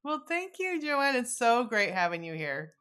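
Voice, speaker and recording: A woman's voice: on the high side, very animated, moderate pace, a bit bright, clear, resonant tone. A woman podcast host chatting casually with a guest, informal and effusive in how she talks. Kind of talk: casual interview chat.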